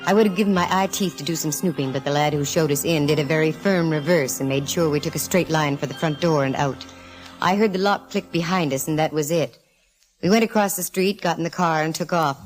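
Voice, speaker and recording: Woman acting a wry lady detective, lowish voice, slangy old radio drama, bit of music. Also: a little fast, close to an everyday voice, poor audio. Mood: narrating, wry. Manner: dry, slangy. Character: wry, wisecracking, self-possessed female private eye. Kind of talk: 1940s radio acting, first-person detective narration.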